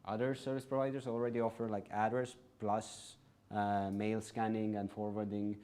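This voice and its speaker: Man, low-ish voice, casual presenter, lots of "like" fillers, self-corrections. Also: flat delivery, moderate pace, dark tone, smooth. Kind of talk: informal presentation. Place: event venue, live audience.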